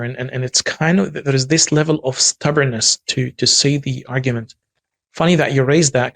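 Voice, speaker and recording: A man's voice: medium pitch, no echo. A man from Egypt with an Egyptian accent, a native Arabic speaker, talking as an interview guest with frequent restarts. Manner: frequent restarts and repeated words, earnest. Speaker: guest, human rights activist. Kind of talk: interview conversation.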